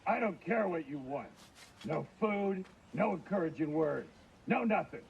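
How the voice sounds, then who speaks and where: A man's voice: a little high, lively intonation, a little slow, gravelly, dull audio, slight background noise. An actor playing a hostile, bitter dwarf townsman in a video game, curt and dismissive. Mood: angry, dismissive.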